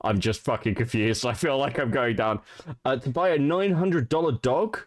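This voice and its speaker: A man's voice: medium pitch, normal speed, dry recording, studio quality. A man hosting a stream, reacting to clips with disbelief, swearing and laughing often. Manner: incredulous and profane, laughs often. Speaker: host.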